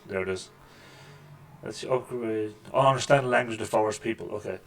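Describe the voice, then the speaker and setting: A man with a deep voice hosting a casual let's-play, making wry, joking remarks over the gameplay at a desk microphone. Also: a little fast, creaky, fairly bright tone, a little hiss or hum. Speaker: gaming channel host. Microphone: desk microphone with mixer.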